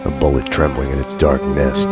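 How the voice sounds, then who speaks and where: An actor playing a bitter, world-weary detective antihero, narrating in a brooding, deliberate hardboiled noir style for a video game. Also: male voice, extremely low pitch, huge pitch swings, loud music behind the voice, exaggerated voice. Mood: brooding, haunted.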